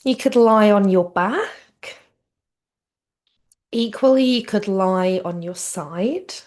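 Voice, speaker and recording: A woman teaching yoga nidra, giving gentle guided relaxation in a soothing, unhurried and informal way. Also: mid-range voice.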